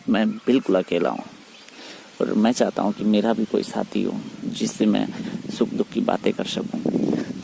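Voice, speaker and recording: A man speaking in a private phone conversation, pleading and persuading in a halting, repetitive way. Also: on the low side, creaky. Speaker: teacher. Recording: noisy phone call recording.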